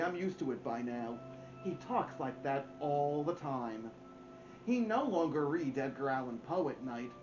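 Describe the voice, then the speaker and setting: A middle-aged man reading aloud to children as a story time host, with theatrical delivery and deliberate pauses. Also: fairly high pitch, highs cut off. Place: indoors, small home room.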